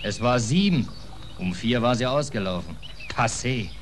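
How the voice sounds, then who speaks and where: An actor playing a cheeky, easygoing American sailor who talks in a hesitant, rambling, casual way in a 1940s German radio play. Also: male voice, medium pitch, lively intonation, moderate pace, noisy background, natural voice. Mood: wistful, rambling.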